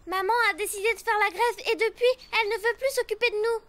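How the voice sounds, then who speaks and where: A woman's voice: very high-pitched, quick pace, a bit bright. A woman voicing a bossy young elephant girl, an indignant eldest sister in a children's cartoon dub. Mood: worried. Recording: music behind the voice.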